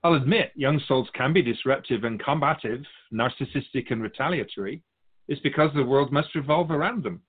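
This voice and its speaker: Give me a man acting as a wise otherworldly soul keeper, wry and teasing, with a very animated, swooping delivery. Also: medium pitch, breathy edge, phone-quality sound. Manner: measured, teasing, rhetorical questions. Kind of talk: scripted philosophical dialogue.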